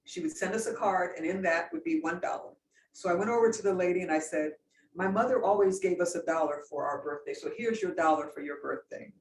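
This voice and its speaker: A woman's voice: fairly low pitch, fairly quick. Lady pastor talking earnest on a panel, echoey room, mic kinda far. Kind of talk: panel discussion, storytelling. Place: event room. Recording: roomy distant sound with faint noise.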